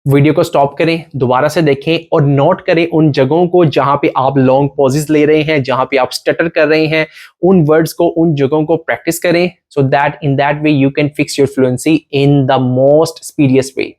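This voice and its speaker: A man giving an exam tutorial, talking fast in Hindi-Urdu and slowing down for deliberate English, often checking in with the viewer. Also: on the high side, steady tone, darkish tone. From Pakistan. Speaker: IELTS coach.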